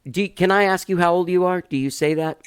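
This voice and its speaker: A man's voice: sits high, a little fast, smooth, no room sound, studio quality. A man in his fifties hosting a podcast interview, laid-back and self-deprecating with frequent chuckles, talking over a video call. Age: 50s. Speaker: podcast host. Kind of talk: casual interview chat. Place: dining room full of instruments.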